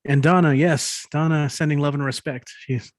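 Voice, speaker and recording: Casual open mic host, dry close sound. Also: male voice, on the high side, fairly even intonation, normal speed, no echo. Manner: informal, appreciative of performers. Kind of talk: casual introductions of performers. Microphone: online video call at home.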